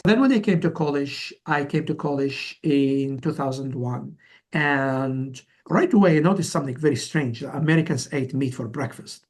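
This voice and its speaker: A man in his forties from Algeria answering as an interview guest, telling his story conversationally in a halting way, often repeating words and restarting. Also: medium pitch. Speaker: interview guest, company founder.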